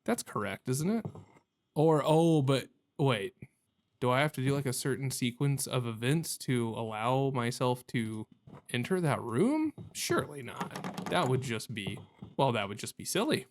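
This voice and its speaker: A man's voice: medium pitch, very animated, unhurried. A man giving casual let's play commentary on a video game, thinking aloud in a hesitant, self-questioning, rambling way.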